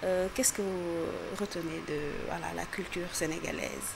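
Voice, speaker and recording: A woman's voice: on the low side, fairly even intonation, normal speed, gravelly. A woman from Senegal interviewing guests in a friendly way, with short prompts and frequent laughter. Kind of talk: entertainment interview.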